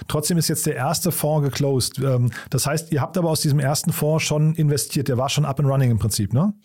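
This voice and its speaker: A man's voice: a little high, fairly quick, darkish tone, breathy edge. A man hosting a podcast, talking in an informal, enthusiastic, conversational way with guests. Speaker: podcast host.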